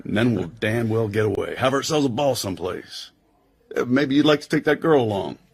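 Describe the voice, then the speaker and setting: An actor with an American accent playing a tough, loyal intelligence agent in a radio drama, husky, wry and slangy. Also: male voice, medium pitch, animated, a little fast. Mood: blunt, admiring.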